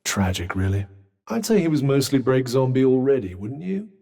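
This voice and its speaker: A man's voice: medium pitch, big pitch swings, normal speed, creaky, warm tone, big-room sound. A British man voicing a witty, haughty magical talking vambrace in a video game, with pompous, droll and sardonic delivery. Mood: measured, wry. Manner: pompous, droll, erudite wording. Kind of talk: video game character dialogue.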